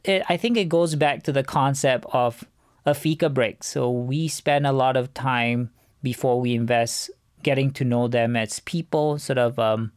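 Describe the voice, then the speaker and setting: A man giving measured, explanatory answers as an interview guest, speaking as a venture fund co-founder. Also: mid-range voice, no echo, studio quality.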